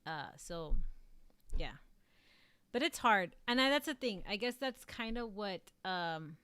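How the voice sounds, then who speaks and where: A woman's voice: mid-range voice, lively intonation, takes it slowly, sharp tone, smooth, no echo. A woman from South Texas with a Mexican-American Texan accent, rambling in casual chat as a streamer, filling her talk with "like" and "um". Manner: rambling, leans heavily on "like" and "um". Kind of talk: casual chat reading viewer comments.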